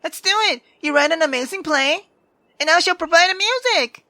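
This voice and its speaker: A woman's voice: extremely high pitch, normal speed, bright, forceful tone, no room sound, pitched above the performer's own voice, poor audio. A woman voicing a brash, sarcastic sixteen-year-old girl who is theatrical, teasing and foul-mouthed in a visual novel read-aloud. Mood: excited.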